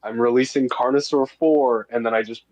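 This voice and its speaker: A man's voice: mid-range voice, fairly even intonation, moderate pace, a little mellow. A man being interviewed as the creator of a web series, chatting casually and enthusiastically with some self-deprecation over a muffled connection. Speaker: guest, creator of an analog horror web series.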